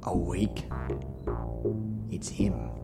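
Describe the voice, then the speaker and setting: A gruff, sardonic space bounty hunter played by a man speaks in a low voice with dramatic weight. It is sci-fi audio drama, with music loud beneath every line. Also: animated, drawn-out words, heavily acted. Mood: accusing.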